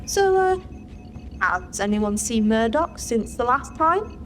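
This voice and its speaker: A woman's voice: on the high side, big pitch swings, slow. Female starship chief, actress-played: suspicious, direct, raised register, sci-fi drama over prominent music. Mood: blunt, questioning. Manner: blunt, challenging. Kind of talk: science fiction audio drama acting.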